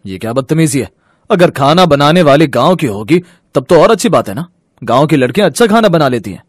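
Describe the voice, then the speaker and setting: A man's voice: fairly high pitch, very animated, a little fast, dry recording, clean studio sound. An actor playing an indignant, fair-minded family man in a Hindi moral story, earnest and emphatic. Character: indignant, fair-minded father and his grown sons.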